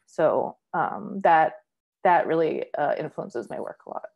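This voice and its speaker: A young woman speaking from home over a video call, pausing often and filling gaps while she works out her thoughts. Her voice sits a little low for a woman, and her talk is loose and conversational. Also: lively intonation, creaky, muffled recording. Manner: hesitant, many fillers, thinking aloud. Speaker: comics creator guest. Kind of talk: casual panel conversation. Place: home room, indoors. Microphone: video call webcam.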